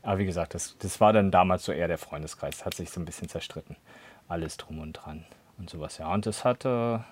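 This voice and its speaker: A man's voice: low-pitched, a little fast, a little mellow, dry recording. A young man from Berlin chatting casually, rambling with lots of fillers. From Berlin, Germany. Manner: rambling, many fillers and "und sowas". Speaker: conversation partner. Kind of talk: casual chat.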